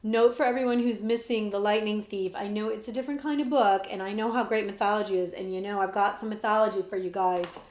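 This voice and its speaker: A woman librarian reading a children's book aloud with expressive storytelling, dropping to whispers at times. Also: mid-range voice, fast, hardly any pauses, roomy sound. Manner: expressive storytelling, shifts between whispers and loud voices.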